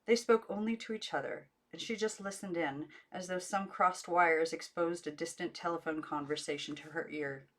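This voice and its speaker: Low-pitched woman reading a story. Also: fairly even intonation, normal speed, few pauses, a bit bright. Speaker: amateur audiobook reader. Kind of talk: scripted narration of a children's novel.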